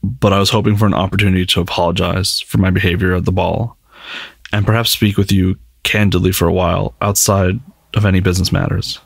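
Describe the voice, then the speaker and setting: A man playing an awkward, eager young nobleman suitor in an ASMR roleplay, halting, flustered and apologetic. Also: low-pitched, flat delivery, vocal fry, breathy edge, no room sound, exaggerated voice. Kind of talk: scripted ASMR roleplay acting.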